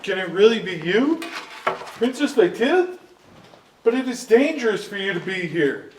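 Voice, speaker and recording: A man's voice: high voice, big pitch swings, measured pace. A man playing a kindly old storyteller, earnest and theatrical, in a staged musical reading. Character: kindly old storyteller, former royal page. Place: indoor stage at an arts venue. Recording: echoey stage, distant mic, faint noise.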